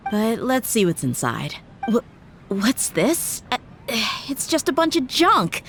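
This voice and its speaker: An actress voicing a tough, reflective female mercenary in a video game, speaking calmly and candidly. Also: a little high, takes it slowly, soft background music, exaggerated voice.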